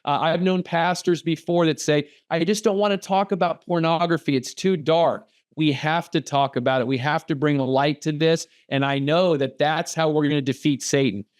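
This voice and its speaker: A man's voice: on the high side, fairly even intonation, normal speed, hardly any pauses, dry recording, clean studio sound. A man speaking as a guest on a podcast, urging action in a preachy way with strings of rhetorical questions over a video call.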